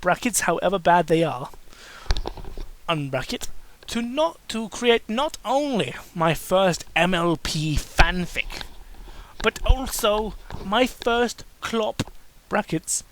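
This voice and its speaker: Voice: mid-range voice, fairly bright tone, slight background noise, rough recording. Someone giving a comedic dramatic reading of amateur fiction, mocking and exasperated, stumbling over the text and breaking off for sarcastic asides. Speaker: host reading fan fiction aloud.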